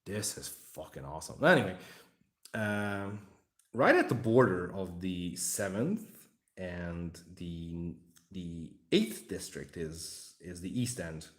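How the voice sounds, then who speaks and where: A man's voice: low-pitched, lively intonation, slow, reverberant. A Hungarian man rambling and joking with his live chat while he assembles a miniature. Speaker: livestream hobby host. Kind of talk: casual livestream chat while building a model.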